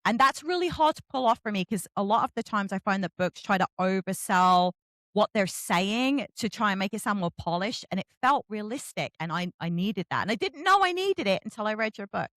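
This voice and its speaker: A woman's voice: medium pitch, animated, fairly quick, a bit bright, dry recording, clean studio sound. A woman hosting a casual book interview, speaking in an effusive, emphatic way.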